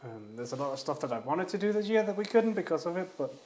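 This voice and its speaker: A man's voice: a little high, fast talker. Rambling man streaming from an echoey kitchen. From Denmark. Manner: rambling, relaxed, swears casually. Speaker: livestreamer cooking. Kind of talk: casual chat while cooking. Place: home kitchen. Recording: echoey room with faint noise.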